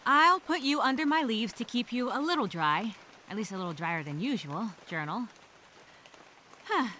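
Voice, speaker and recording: Castaway journal drama: actress as scared, determined stranded woman, halting and self-encouraging, bright animated voice over faint background ambience. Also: on the high side, slight background noise. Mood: focused, weary. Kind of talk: dramatic first-person journal monologue.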